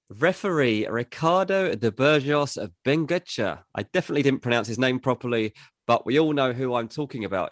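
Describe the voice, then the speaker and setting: A male co-host of a football podcast talks in a dry, self-deprecating way, often padding his lines with fillers. His voice sits at a middle pitch. The recording is very dry and close, with no room sound. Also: dry recording. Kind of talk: casual podcast chat.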